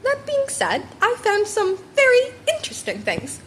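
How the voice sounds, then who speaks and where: Small animal headmaster female reader, courteous, gleefully scheming, high-pitched, for a fan audiobook with faint background noise. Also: wildly swooping pitch, normal speed, a bit bright, full character voice. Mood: intrigued. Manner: polite, amused, chuckling. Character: small cheerful, scheming animal school principal. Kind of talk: amateur fan fiction audiobook character.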